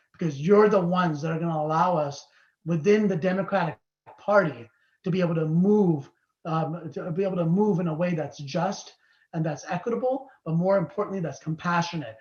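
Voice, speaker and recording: High-voiced guy lawmaker, urgent and emphatic, roomy muffled call audio. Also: a little fast, darkish tone. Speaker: state assembly member. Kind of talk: political speech on a video call. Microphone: video call. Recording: echoey dull sound.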